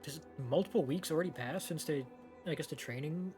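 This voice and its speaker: A man's voice: a little high, a little fast, darkish tone. A man giving casual, slangy, offhand reaction commentary as a game streamer. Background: game music.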